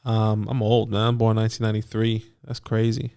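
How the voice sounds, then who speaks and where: A man's voice: fairly low pitch, completely flat delivery, a little fast, warm tone, clear, resonant tone, no room sound, clean studio sound. A man in his late twenties co-hosting a livestream in casual, conversational chat. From lives in Australia. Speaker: co-host of a fantasy football show.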